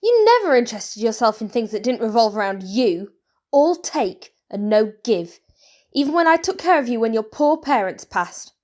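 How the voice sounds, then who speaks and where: Indignant, lively older aunt villain played by a woman. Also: a little high, normal speed, natural voice. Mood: scolding. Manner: theatrical, indignant. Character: scolding, wounded aunt turned murderer, proud of her cooking. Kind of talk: comic audio drama acting.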